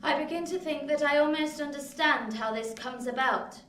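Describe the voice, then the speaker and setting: An actress playing a proud, cold young lady in a radio play, with a haughty, measured, formal delivery. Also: high-pitched, fairly bright tone. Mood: cold resolve. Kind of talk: theatrical radio play acting. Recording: echoing room, distant from mic.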